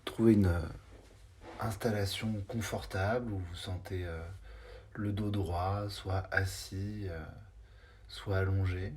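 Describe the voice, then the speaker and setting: Low-pitched man guiding meditation. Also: fairly even intonation, darkish tone, slight background noise. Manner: unhurried, hesitant, spaced-out short phrases. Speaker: meditation guide. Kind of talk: guided body scan meditation.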